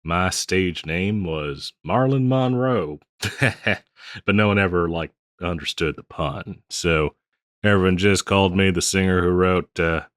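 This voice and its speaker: Man acting as old frontier barkeep, low-pitched, dry close sound, folksy, rambling, gently teasing, audio roleplay. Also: unhurried, no room sound. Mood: wry, reminiscing. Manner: folksy, rambling, chuckling drawl with hesitations. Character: folksy, teasing old Western bartender, ex-drifter singer. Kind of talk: intimate scripted roleplay audio, addressed to listener.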